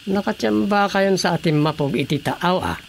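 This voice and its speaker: An actor playing an officious, assertive village councilor in an Ilocano radio drama comedy. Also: male voice, sits high, normal speed, creaky, a little hiss or hum. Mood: inquisitive. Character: officious male village councilor, determined and meddling.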